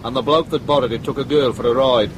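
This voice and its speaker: Actor as blunt, hard-bitten British police detective. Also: male voice, medium pitch, steady tone, fast talker, noisy background, close to an everyday voice. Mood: hard, threatening. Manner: blunt, aggressive, sardonic questioning. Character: hard-bitten, bullying male police detective leading a murder inquiry. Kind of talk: broadcast radio drama acting.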